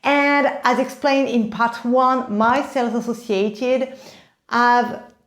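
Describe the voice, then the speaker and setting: Female vlogger, French accent, high voice, slow delivery, chatty and enthusiastic, recorded in an echoey room. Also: fairly even intonation. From France. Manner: chatty, enthusiastic, addresses viewers directly. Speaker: video host, handbag collector. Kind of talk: casual unboxing vlog.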